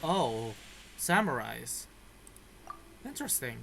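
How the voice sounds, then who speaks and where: A man's voice: medium pitch, very animated, takes it slowly, creaky, fairly bright tone, slight background noise. A man giving casual reaction commentary as he plays a video game.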